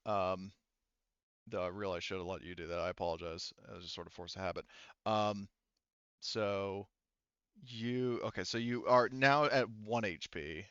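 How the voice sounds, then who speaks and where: A man running an online tabletop roleplaying game as game master, thinking aloud in a halting way over a headset mic. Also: lowish voice, fairly bright tone, clean tone, dry recording, lo-fi sound. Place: online voice session.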